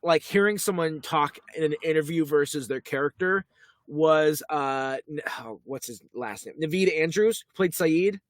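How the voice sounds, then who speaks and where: A man co-hosting a casual podcast about a TV episode, animated and slipping into quick character voices as he retells scenes. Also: on the high side, no echo, studio quality. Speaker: podcast co-host.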